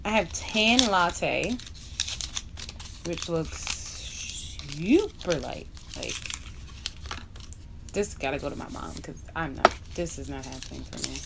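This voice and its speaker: A woman's voice: sits low, big pitch swings, slow pace, creaky, pauses often, heavy background noise. A young woman from Barbados chatting bluntly and casually while doing her makeup for a vlog, dropping in slang asides. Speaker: beauty vlogger.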